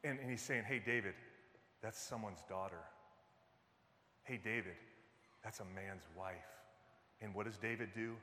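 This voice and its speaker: A man's voice: on the low side, steady tone, measured pace, pauses often, sharp tone, slight background noise. A man from Detroit in his fifties, preaching a sermon in a church in a conversational way, with many fillers and restarts and jokes with the congregation. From Detroit, US Midwest. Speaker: pastor. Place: church sanctuary. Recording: long reverb of a large hall.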